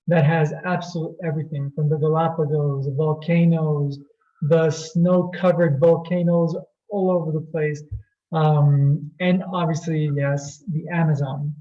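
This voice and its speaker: A man from Colombia co-hosts a webinar with real enthusiasm. His voice is fairly high and mellow, and he often repeats words as he goes. The call audio sounds dull and lo-fi. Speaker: retreat co-host. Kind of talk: presenting a webinar.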